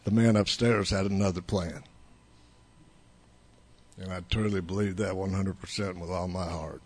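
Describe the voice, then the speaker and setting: A man's voice: low-pitched, steady tone, normal speed, slightly rough. A man in his seventies, whose first language was Italian, telling the story of his recovery to an audience in a blunt, rambling way, with frequent restarts. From United States. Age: 70s. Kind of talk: personal testimony to an audience.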